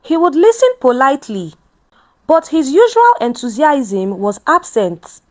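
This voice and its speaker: A woman narrating a scripted dramatic story in a measured, expressive storytelling manner. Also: high voice.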